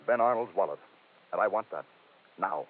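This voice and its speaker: Curt crooked lawyer, tinny radio. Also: male voice, low voice, lively intonation, normal speed, breathy edge. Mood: menacing. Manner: curt, menacing. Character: crooked defense lawyer, male, threatening accomplice at gunpoint. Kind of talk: 1950s radio drama acting. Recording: tinny vintage 1950s radio recording.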